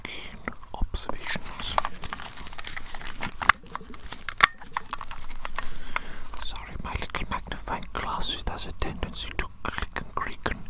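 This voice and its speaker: A performer plays an evil doctor in an ASMR roleplay, speaking entirely in a breathy whisper. The doctor fusses over a tiny patient with theatrical, formal wording, repeating phrases with fond relish. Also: normal speed, close to an everyday voice. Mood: intent, apologetic.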